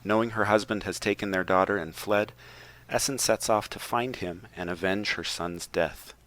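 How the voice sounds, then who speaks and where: A man's voice: lowish voice, steady tone, normal speed, fairly bright tone, rough recording. A man on a podcast reading a prepared book summary aloud, with a wry, tongue-in-cheek delivery and joking asides.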